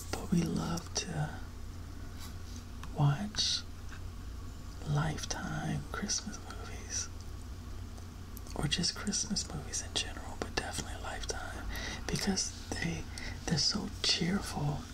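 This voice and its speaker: Slow, whispering man in ASMR style. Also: on the high side, steady tone, gravelly, low-quality recording. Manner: gentle, reflective, drawn-out phrasing. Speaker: ASMR video creator. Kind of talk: soft-spoken whispered ASMR ramble.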